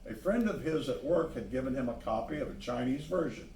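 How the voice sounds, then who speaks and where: A man reading a children's story aloud, pausing to add simple asides about the pictures. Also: mid-range voice, animated, darkish tone, slight background noise. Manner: pauses to point out the pictures. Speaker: storybook reader. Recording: echoey room, distant microphone.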